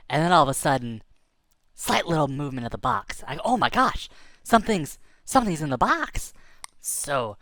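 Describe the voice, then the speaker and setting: Lively, rambling male storyteller. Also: mid-range voice, dry recording. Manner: casual, rambling, lots of fillers. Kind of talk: telling a tall tale.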